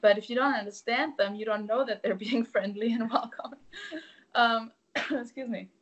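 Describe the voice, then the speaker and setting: A woman's voice: a little high, normal speed, lo-fi sound. A young woman with a German accent, a native German speaker from Germany, talking candidly and reflectively as a guest over a video call from home. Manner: open, reflective, candid storytelling. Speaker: musician guest. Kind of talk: casual interview chat. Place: indoors at home.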